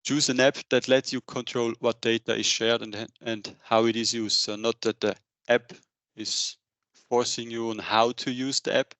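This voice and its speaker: A man in his thirties giving a semi-scripted business webinar presentation into a headset, with a halting delivery. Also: mid-range voice, fairly bright tone, no echo. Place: indoor room, on a video call.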